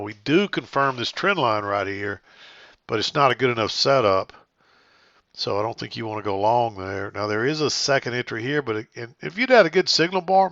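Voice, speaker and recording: A man with a Southern US accent, a little hoarse and stuffy, calmly walking through a trading chart with frequent ums and uhs. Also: mid-range voice, dry recording. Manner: calm, methodical, many ums and uhs. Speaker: trading educator. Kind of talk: day trading chart recap. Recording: dry, slightly dull audio.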